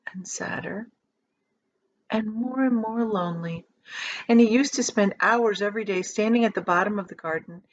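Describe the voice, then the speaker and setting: A woman reading a children's storybook aloud as a teacher would, with dramatic emphasis and drawn-out key words. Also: medium pitch, lo-fi sound.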